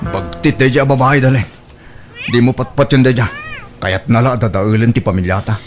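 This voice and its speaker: A man's voice: medium pitch, normal speed, soft background music, lightly acted. An actor with an Ilocano Filipino accent playing a guilty, emotional husband begging his family in a radio drama. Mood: angry. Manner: emotional, pleading.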